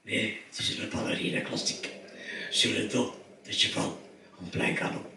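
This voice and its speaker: An older man from Belgium with a raspy, half-whispered voice after throat surgery, telling stories on stage slowly and haltingly as he searches for words. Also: on the low side, flat delivery, pushed and bright. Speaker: circus director, interviewee. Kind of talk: storytelling on stage. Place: theatre stage before an audience. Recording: echoey hall, distant mic, faint noise.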